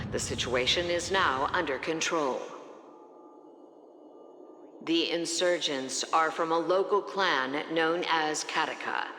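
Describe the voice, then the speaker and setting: A woman's voice: low voice, reverberant, big-room sound, background noise. A woman voicing a formal news announcer in a game, reading evenly. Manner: formal, even newsreading.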